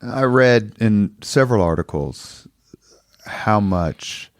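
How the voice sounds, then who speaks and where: A man with a fairly low voice works as a podcast host, moving between prepared introduction and interview questions. The recording is very dry, with hardly any room sound around him. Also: expressive, takes it slowly, no echo.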